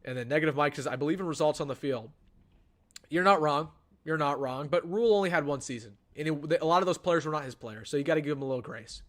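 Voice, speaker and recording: A man's voice: fairly high pitch, fast. A young man, hosting a casual live-stream sports show and chatting through viewer questions. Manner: chatty, animated, answers chat questions. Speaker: sports channel host.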